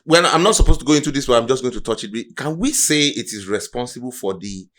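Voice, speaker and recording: A man's voice: mid-range voice, animated, fast, fairly bright tone. A Nigerian man with a Nigerian accent, hosting a discussion, often breaking in with short prompts and questions. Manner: interjecting, prompting the guest. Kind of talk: interview, discussion.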